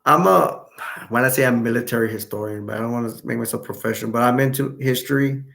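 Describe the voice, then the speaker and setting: A young man from Texas chatting casually on a live stream, answering viewers in a rambling, halting way with many restarts. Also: mid-range voice, creaky, a bit bright. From Texas, United States.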